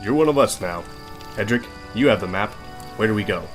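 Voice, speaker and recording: Man as lowish-voiced, steady adventurer. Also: fairly quick, faint music behind, natural voice. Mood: easygoing. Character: steady, generous male adventurer, leader of the party. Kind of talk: fantasy audio drama acting.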